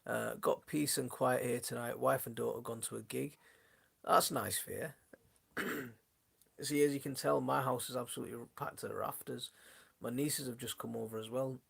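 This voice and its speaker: A man hosting a gardening channel, chatting casually on a live stream from his home, his voice going hoarse, with a rambling, friendly way of talking. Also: medium pitch, fairly even intonation, normal speed, fairly bright tone. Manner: rambling, many restarts, chatty and friendly toward viewers. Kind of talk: casual live-stream chat answering viewers. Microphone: phone.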